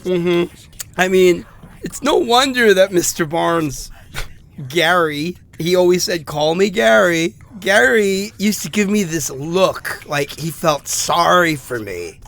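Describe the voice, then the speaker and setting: An actor playing a bitter, wounded ex-convict in an audio drama monologue, halting and wry as he confides. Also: sits high, gravelly, a bit bright, exaggerated voice. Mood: rueful. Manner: halting, wry, muttering asides with chuckles.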